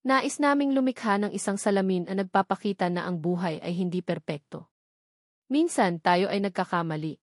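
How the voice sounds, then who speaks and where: Woman, Filipino accent, story narrator, scripted romance narration, mid-range pitch, dry recording. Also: moderate pace, no room sound.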